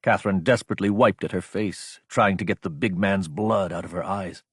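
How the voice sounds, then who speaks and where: A man's voice: sits low, brisk, fairly bright tone, husky, no room sound. Male audiobook narrator, dry close sound. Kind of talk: dramatic scripted narration of action fiction.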